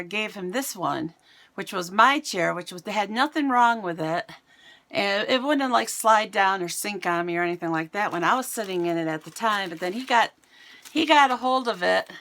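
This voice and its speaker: Middle-aged woman vlogger, raspy today, chatty and self-mocking, plain mid pitch. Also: lively intonation, normal speed, a bit bright. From United States. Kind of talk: casual chat to camera. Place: home, indoors.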